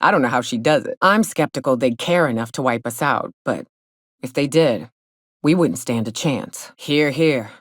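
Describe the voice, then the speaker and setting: Gruff frontier woman, low and lively. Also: moderate pace, no room sound, studio quality, heavily acted. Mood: blunt, skeptical. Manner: folksy, blunt, sardonic drawl. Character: hard-drinking, gruff, wry frontier woman guide and hunter. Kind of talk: video game character dialogue.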